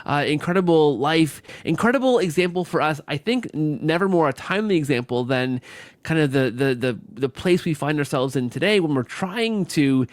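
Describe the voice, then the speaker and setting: A man's voice: a little high, animated, normal speed, dry recording. A man hosting a conversational interview, effusive, repeating words and often tagging sentences with "right?". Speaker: podcast host.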